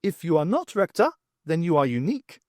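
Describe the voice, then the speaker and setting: A man's voice: a little high, big pitch swings, brisk, no room sound, clean studio sound, voice pushed up. A man voicing a hovering robot porter in an audiobook, speaking in a formal, precise, deferential servant's manner. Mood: dryly polite. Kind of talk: audiobook character voice.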